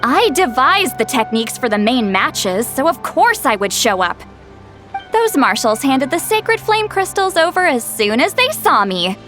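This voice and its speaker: Small elder woman, high-pitched, varied intonation, confident, matter-of-fact, anime game character. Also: very animated, a little slow, fairly bright tone, exaggerated voice. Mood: assured. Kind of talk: anime-style video game voice acting. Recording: faint music behind the voice. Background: game music.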